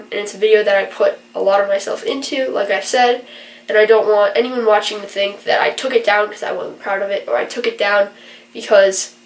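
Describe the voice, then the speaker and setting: A woman's voice: medium pitch, recorded from a distance. A stuffy-nosed young woman, sick with a virus, earnestly explaining things in a rambling casual vlog, with plenty of fillers. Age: young adult. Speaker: vlogger and college student. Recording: roomy echo, from away from the mic.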